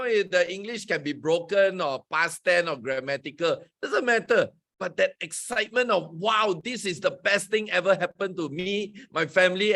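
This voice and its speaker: A man with a somewhat high voice hosts a motivational talk. He speaks with energy and emphasis, his pitch swinging from high peaks down to low, quiet stretches. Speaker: host.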